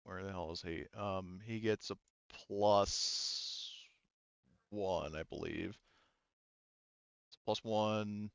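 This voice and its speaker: Male game master, clean smooth voice, halting think-aloud narration, dry slightly dull audio. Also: sits low, fairly even intonation, fairly bright tone, no echo. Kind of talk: narrating a tabletop game session.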